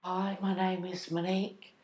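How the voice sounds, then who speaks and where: A woman's voice: lowish voice, flat delivery, normal speed, a little mellow. An Australian woman giving a plain personal account in short, simple sentences. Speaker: speaker in a community film.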